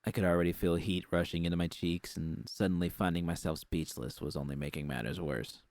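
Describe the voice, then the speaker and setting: Guy reading a story in first person, low voice, dry sound no room. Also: fairly even intonation, fairly quick, a little mellow, no room sound. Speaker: narrator. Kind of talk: scripted first-person fiction narration.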